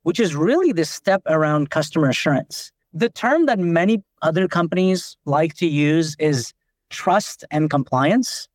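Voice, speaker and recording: A man's voice: on the high side, expressive, a little slow, no room sound, clean studio sound. A man from Nepal, a company founder, explaining things in a podcast interview with lots of "like" fillers. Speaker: company founder and CEO, guest.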